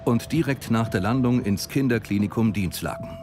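A man's voice: medium pitch, moderate pace. A man reading scripted, dramatic narration for a medical documentary. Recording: prominent music behind the voice-over. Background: music bed.

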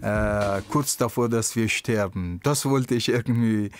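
Hesitant young man. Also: lowish voice. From Afghanistan. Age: 20s. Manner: calm, hesitant, frequent "äh" pauses. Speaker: interviewee. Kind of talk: personal testimony. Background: music.

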